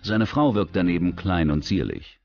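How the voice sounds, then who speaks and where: A man's voice: sits low, moderate pace, vocal fry, darkish tone, muffled recording. A man reading a scripted true-crime documentary as its narrator.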